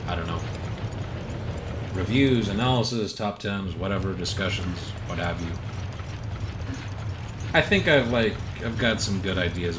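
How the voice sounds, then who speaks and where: A man with a low, bassy voice rambling casually as he streams a video game live. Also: background noise. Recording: music behind the voice. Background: game music.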